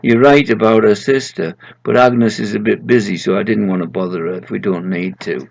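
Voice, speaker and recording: A man's voice: fairly low pitch, steady tone, a little fast, gravelly, darkish tone. A man voicing a businesslike male boss from heaven handing out a job in a story.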